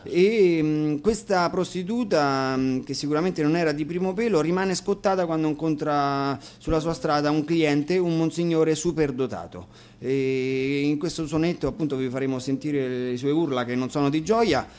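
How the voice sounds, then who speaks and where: A man casually introducing songs as a guest musician, explaining things with hesitant pauses. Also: on the high side, a little mellow, clear, resonant tone. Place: radio studio.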